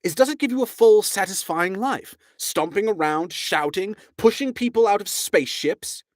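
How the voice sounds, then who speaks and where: A man voicing a quick-witted male traveller who talks in a brisk, wry, persuasive way. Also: high-pitched, animated, no room sound, clean studio sound, voice pushed up. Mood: wry coaxing.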